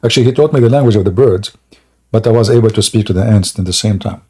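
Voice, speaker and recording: A man's voice: fairly low pitch, fast, mellow, husky. A man hosting a live call-in debate show, mocking and joking as he spins tall tales. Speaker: host.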